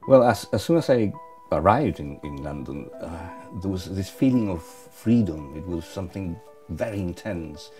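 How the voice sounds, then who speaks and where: A man gives documentary interview testimony. His voice is very deep and dark, and he speaks hesitantly, pausing on fillers and trailing off quietly. Faint guitar music plays behind him. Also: expressive. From Chile. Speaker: interviewee, former refugee.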